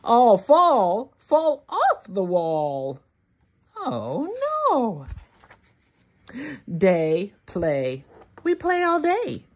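A woman reading a rhyming picture book aloud for young children, word by word, with deliberate expression. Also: medium pitch. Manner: deliberate, word-by-word, expressive delivery for early readers. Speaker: children's read-along host.

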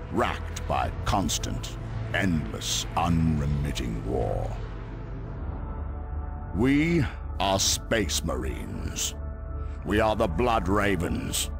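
An actor playing a grave, weary space marine warrior, narrating a game cutscene in a solemn, measured, ominous way with a gravelly voice. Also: male voice, sits low, full character voice. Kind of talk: dramatic scripted cutscene narration. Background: music.

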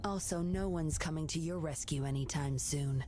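A woman's voice: deep voice, normal speed, warm tone, slight background noise, natural voice. An actress playing a cunning young woman double agent, teasing and coolly commanding. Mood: sly.

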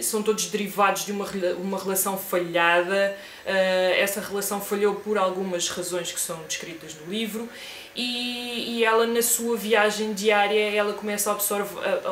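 A young woman with a European Portuguese accent reviews books to a desk camera in a room lined with shelves. She talks in a relaxed, hesitant way, full of fillers and restarts. The room sounds echoey, with a faint hum underneath. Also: mid-range voice. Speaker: book vlogger. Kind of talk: casual book review. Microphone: desk camera at close range.

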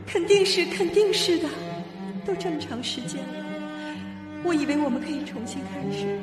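An actress in a radio drama playing a fragile wife, distraught and wracked with guilt. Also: female voice, sits high, sing-song, normal speed. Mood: panicked. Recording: echoey reverberant hall, distant, loud music behind.

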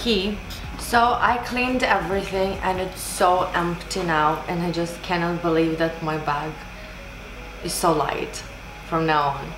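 A young woman vlogger, speaking English as a second language, chats casually at a slow pace. Her voice has a roomy echo, as if recorded indoors a little way from the camera, and music plays clearly behind her. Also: fairly low pitch, fairly even intonation. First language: not English. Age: 20s. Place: small room indoors, seated at desk. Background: background music.

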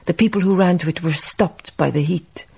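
An actress playing a grieving, dignified woman memoirist in a radio drama, speaking reflectively in a breathy, husky voice. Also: fairly low pitch, normal speed, a little mellow. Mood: grave, grieving.

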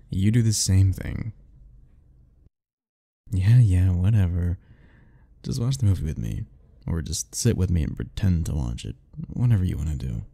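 A man's voice: lowish voice, vocal fry, mellow. A young man doing an ASMR roleplay as a teasing, easygoing roommate talking to the listener, laughing often.

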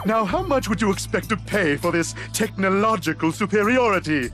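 Casting: theatrical mad-scientist pitchman, high, swooping, grandiose and scornful, game cartoon, music behind. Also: animated, fairly bright tone. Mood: scornful pitching. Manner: grandiose, drawn-out showman delivery. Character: pompous mad-scientist villain hawking gadgets, scornful and theatrical. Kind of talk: parody infomercial pitch in game cutscene.

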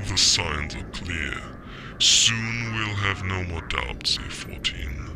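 A man playing a grave, calculating spymaster in a science fiction audio drama, speaking in a measured, ominous way. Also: deep voice, expressive, creaky, heavily acted. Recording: music behind the voice.

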